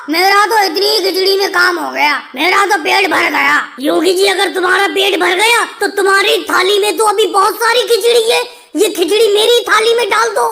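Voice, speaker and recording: A very high, bright cartoon voice acted out by a performer as a squabbling little man. He bickers and whines emphatically, like a character in a comedy animation. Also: gravelly, exaggerated voice. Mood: pleading, bickering. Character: pitched-up cartoon caricature of a squabbling male politician schoolboy or labourer. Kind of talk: comic cartoon dialogue in Hindi.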